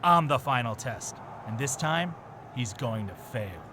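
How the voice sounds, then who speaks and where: A man's voice: medium pitch, animated, measured pace, close to an everyday voice. Guy playing resentful self-righteous wrestler cutting a game promo, mid voice, noisy background. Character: bitter, self-righteous male wrestler and trainer. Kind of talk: acted backstage interview promo. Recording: clear ambient background noise.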